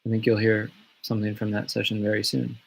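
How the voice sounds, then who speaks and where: A man chatting casually from his home on a livestream, answering fan questions in a relaxed, rambling way with many fillers and restarts. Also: on the low side, quick pace, creaky, slight background noise, low-quality recording. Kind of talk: casual live Q&A. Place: home studio in the countryside. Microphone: webcam or phone on a livestream.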